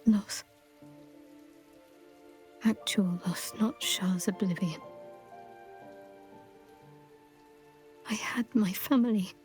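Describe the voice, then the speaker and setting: An actress playing a grieving, willful young woman in a video game, speaking in a measured, hushed, halting and reflective way. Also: medium pitch, soft background music, natural voice. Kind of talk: cinematic video game voice acting.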